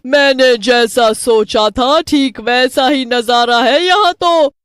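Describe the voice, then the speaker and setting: A woman's voice: sits high, drawn-out words, clean tone, no room sound, studio quality. A woman voicing a gloating, bloodthirsty witch with a menacing, cackling manner in a cartoon horror story. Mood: gloating, threatening. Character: gloating, bloodthirsty witch who preys on people.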